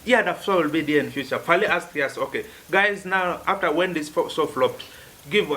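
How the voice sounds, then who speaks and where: A man's voice: fairly high pitch, normal speed, pushed and bright. A man with a Ugandan accent answering interview questions as an event organizer, explaining earnestly and repeating himself.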